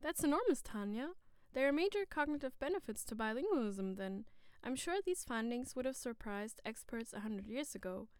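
A woman's voice: fairly high pitch, animated, moderate pace, smooth, no room sound, clean studio sound. A woman from Germany co-presenting a scripted educational dialogue in an articulate, formal, explanatory way. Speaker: co-presenter in a discussion on bilingualism.

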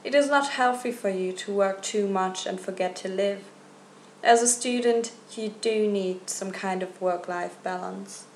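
Female student, 20s, calm and practical, echoey recording. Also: mid-range voice, a little slow, slight background noise. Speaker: student guest. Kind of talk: scripted panel discussion.

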